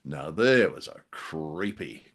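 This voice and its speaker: A man's voice: very deep voice, expressive, takes it slowly, husky. A man co-hosting a casual podcast chat, talking in a jokey, rambling, hesitant way. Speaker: podcast co-host.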